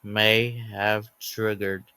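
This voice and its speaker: A man reading a scripted emergency alert announcement as narrator, in a halting way that breaks sentences into short groups of words. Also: on the low side, level tone, sharp tone, clear, resonant tone, no room sound, low-quality recording.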